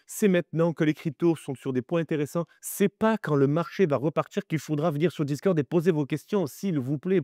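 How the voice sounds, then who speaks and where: A man hosting a crypto market video, chatty and animated, with many fillers and direct appeals to viewers, sounding a little stuffy from the cold. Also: a little high, dry recording, studio quality. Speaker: crypto channel host. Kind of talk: crypto market analysis vlog.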